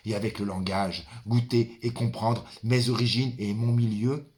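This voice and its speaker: A man performing a theatrical monologue as a brooding son, the ferryman of the dead, addressing his father in a deliberate, dramatic, incantatory manner. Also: on the low side, steady tone, a little mellow, natural voice. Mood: solemn, yearning. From France. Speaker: stage performer.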